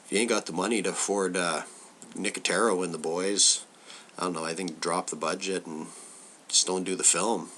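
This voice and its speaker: A man's voice: deep voice, bright, forceful tone, slight background noise. A man with a cold and a stuffy nose, giving a loose, rambling film review full of filler words.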